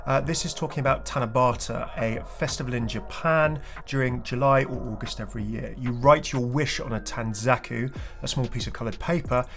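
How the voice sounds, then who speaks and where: A man's voice: medium pitch, moderate pace, few pauses, a bit bright. A man doing enthusiastic, wordy video commentary on game lore as a channel host. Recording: music behind the voice.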